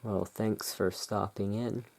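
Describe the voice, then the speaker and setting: A soft-spoken ASMR performer playing the relaxed owner of a gothic curiosity shop in a soothing, unhurried manner. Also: deep voice, gravelly, husky.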